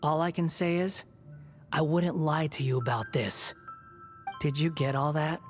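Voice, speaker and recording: A man's voice: on the high side, a little slow, soft background music, lightly acted. An actor playing a proud, bitter young male wanderer in a video game, with a dry, aloof, cutting manner. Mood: guarded, serious. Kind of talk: video game character acting.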